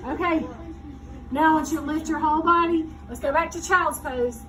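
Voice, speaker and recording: A woman's voice: high-pitched, normal speed, fairly bright tone. A woman yoga teacher leading a children's class, giving short step-by-step cues and checking in with questions. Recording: distant mic, clearly noisy room.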